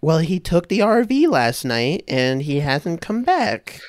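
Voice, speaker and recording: A man's voice: fairly high pitch, big pitch swings, slow pace, no echo, clean studio sound. A young man voicing a flustered, apologetic mother worried about her missing son in an improvised comedy. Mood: hesitant, recalling. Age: young adult. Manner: hesitant, apologetic. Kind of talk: improvised comedy table read.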